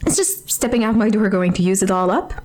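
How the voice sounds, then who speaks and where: A woman's voice: medium pitch, brisk, a little mellow, lightly acted. A woman playing a hopeful young survivor, musing to herself and bolstering her courage in a post-apocalyptic audio drama. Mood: nervous, determined. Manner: musing, self-encouraging. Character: hopeful, tentative young woman braving a broken world. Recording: music under the voice.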